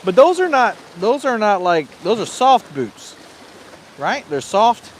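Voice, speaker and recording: High-voiced easygoing man. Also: very animated, measured pace, slight background noise. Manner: easygoing, reads out viewer comments. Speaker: guest reading chat. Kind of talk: casual livestream chat. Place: outdoors on a windy lake bank.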